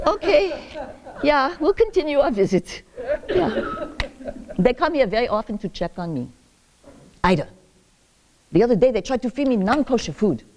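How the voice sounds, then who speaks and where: A sharp-tongued old grandmother, played by an actress, scolding and joking in a stage monologue. Her voice is warm and mellow. Her pitch swings up and down. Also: sing-song, unhurried, pauses often, a little hiss or hum. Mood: wry. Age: older. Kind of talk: theatrical monologue.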